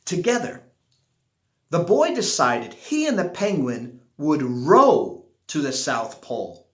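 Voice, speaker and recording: A man reading a children's picture book aloud expressively, with dramatic pauses and drawn-out words. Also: on the high side, sing-song, fairly bright tone. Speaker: storybook reader. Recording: echoey room.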